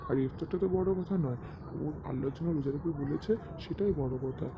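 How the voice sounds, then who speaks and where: Man playing a higher-voiced gentle cartoon father. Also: fast, highs cut off, natural voice. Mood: calmly firm. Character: gentle, devout father of a poor household. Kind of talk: cartoon dialogue. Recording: faint background noise.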